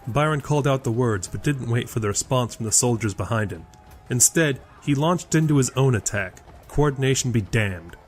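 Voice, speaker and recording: A man's voice: mid-range voice, expressive, moderate pace, faint music behind. A man reading scripted narration for a fantasy audio drama.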